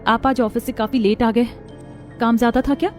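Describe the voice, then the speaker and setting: A woman voicing a timid, anxious young daughter-in-law in an animated family drama, meek and pleading. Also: a little high, normal speed, soft background music, lightly acted.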